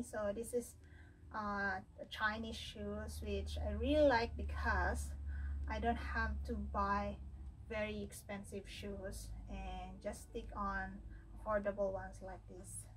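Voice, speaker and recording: A woman's voice: mid-range voice, little pitch movement, slow pace, far from the mic, a little hiss or hum, low-quality recording. A woman in her thirties with a Filipino accent, chatting casually through a haul unboxing at home and slipping Tagalog words into her English. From Philippines. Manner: chatty, mixes Tagalog into English, thinks aloud with fillers. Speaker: fashion vlogger. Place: home room with wooden drawers, indoors.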